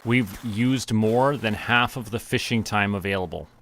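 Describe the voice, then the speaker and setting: A man's voice: fairly low pitch, unhurried, noisy background. A man giving casual live game commentary, talking to himself and his viewers as a streamer. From Canada.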